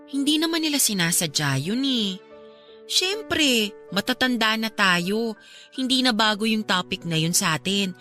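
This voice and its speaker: A woman's voice: mid-range voice, lively intonation, a little slow, pushed and bright, faint music behind. An actress playing a caring but frank young woman chiding her ex in a radio drama.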